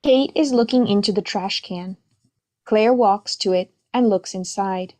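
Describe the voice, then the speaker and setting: A woman's voice: mid-range voice, a little slow, a little mellow. A woman reading stage directions as scripted narration for a play reading.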